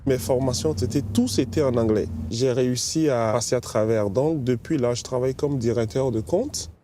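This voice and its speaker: A man from Benin who works as a bank account manager, speaking in a steady, earnest tone in a testimonial interview. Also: medium pitch, moderate pace, slight background noise.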